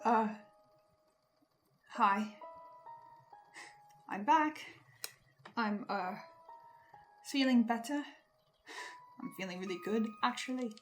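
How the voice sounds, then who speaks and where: A woman's voice: a little high, soft background music. A woman playing an uneasy archivist hosting a horror broadcast, hesitant and stammering as they confide in listeners. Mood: uneasy, wondering. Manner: hesitant, stammering, slow and reflective. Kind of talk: confessional horror video monologue.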